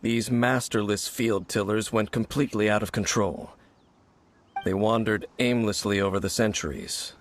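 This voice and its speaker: A man's voice: lowish voice, natural voice. Man playing a grave, formal knight. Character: solemn male knight, grave keeper of ancient secrets. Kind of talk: video game voice acting.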